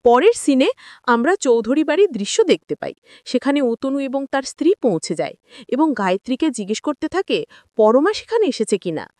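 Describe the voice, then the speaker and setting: A woman narrates a scripted drama recap in a high voice. Her pitch moves up and down in a lively way, and the sound is close and dry, with no room echo. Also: moderate pace. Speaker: narrator of TV serial episode recaps. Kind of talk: scripted story recap narration with acted-out dialogue.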